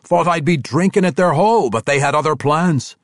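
Dude putting on a plainspoken dwarf guy, flat matter-of-fact delivery, raised voice. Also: on the high side, moderate pace, no room sound, studio quality, pitched above the performer's own voice, close to an everyday voice. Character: stubborn young dwarf king, blunt and plain-spoken.

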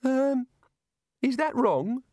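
Guy doing a fretful high-voiced god, all fuss and puzzlement, dry close sound. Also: animated, drawn-out words, a little mellow, no room sound, full character voice. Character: anxious absent-minded male god, fussy.

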